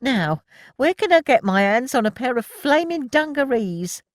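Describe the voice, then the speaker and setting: A woman voicing a brash, glamorous young wartime factory girl who talks loudly and theatrically. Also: high voice, very animated, moderate pace, dry recording, studio quality, voice pushed up. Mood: grandly. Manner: blustering, theatrical, loud.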